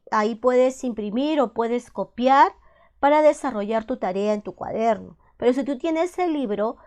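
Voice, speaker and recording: A woman teaches math at a slow, unhurried pace. Her voice sits fairly high, and she goes patiently through each step, repeating it and stopping to ask whether it is clear. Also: darkish tone. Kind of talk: slow step-by-step classroom explanation.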